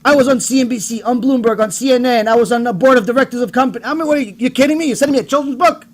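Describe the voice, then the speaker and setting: A man speaking as a rabbi, giving an informal, emphatic sermon with personal stories, acted-out dialogues and rhetorical questions, in a husky, rough-edged voice. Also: extremely high pitch, racing. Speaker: rabbi giving a religious lecture.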